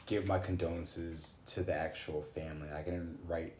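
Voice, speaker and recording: A man's voice: low voice, flat delivery, moderate pace, darkish tone, a little hiss or hum. A man in his late twenties ranting casually on a livestream while reading cards, thinking aloud with swearing and sudden exclamations. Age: 20s. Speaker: streamer doing a card reading. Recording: echoey room with faint ambience.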